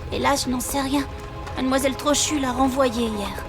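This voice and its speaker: A woman's voice: high voice, steady tone, moderate pace, natural voice. A woman voicing a wistful, affectionate schoolgirl in a cartoon. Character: wistful, affectionate schoolgirl missing her friend. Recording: loud music behind the voice.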